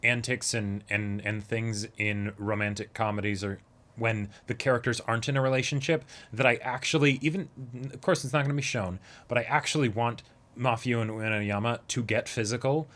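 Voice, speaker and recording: A man's voice: mid-range voice, fairly even intonation, normal speed, a bit bright. A man co-hosting a reaction show, discussing an anime episode in a casual, conversational style.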